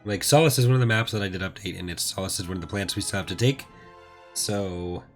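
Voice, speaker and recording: A man with a fairly low voice that is still recovering from being lost. He hosts a casual game playthrough, rambling along in a low-key way. Faint music plays behind him. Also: quick pace. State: recovering from voice loss. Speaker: host of a gaming playthrough series. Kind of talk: casual gameplay commentary.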